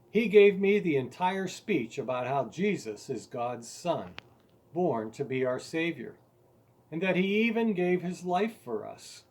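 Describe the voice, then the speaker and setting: A man narrates a scripted story at a mid pitch. His voice has a slight husk to it. He reads expressively and deliberately, leaning on key words like a storyteller. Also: a little mellow, slightly rough. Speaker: author reading own story aloud.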